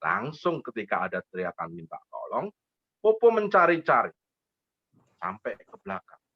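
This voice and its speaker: A man's voice: mid-range voice, huge pitch swings, moderate pace, breathy edge, dry recording, highs cut off. A man telling a story to young children in a playful, interactive way, repeating phrases and asking questions.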